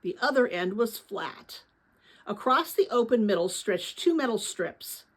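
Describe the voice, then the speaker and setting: A woman reading a children's novel aloud as host, with expressive, careful phrasing. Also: a little high, a little slow. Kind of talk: dramatic storybook read-aloud.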